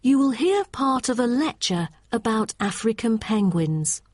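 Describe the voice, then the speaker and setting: A woman's voice: a little high, sing-song, measured pace, darkish tone, no room sound, studio quality. A woman serves as announcer for a listening exam. She reads scripted instructions in a recording with very little room sound.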